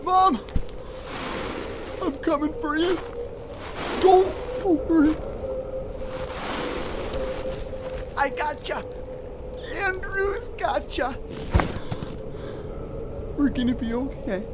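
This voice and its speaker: A man playing a badly injured young man freezing to death in an audio drama, devoted and desperate, weak, halting and tearful. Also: very high-pitched. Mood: frantic, tearful reassurance. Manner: halting, broken phrases, tearful. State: weak, gasping, crying. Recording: noisy, loud wind-like ambience behind.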